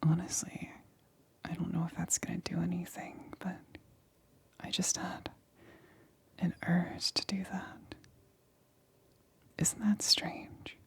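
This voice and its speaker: A woman voicing an infected female lab assistant in an ASMR roleplay, eerily blissful and coaxing, in a breathy, intimate whisper. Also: on the low side, level tone, slow, pauses often, heavily acted. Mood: seductive, menacing.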